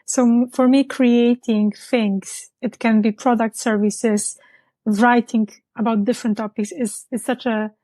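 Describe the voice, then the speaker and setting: A woman from Poland, a business founder speaking as an interview guest in a reflective way, pausing to search for words. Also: on the high side, steady tone, dark tone, dry recording. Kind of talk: conversational interview.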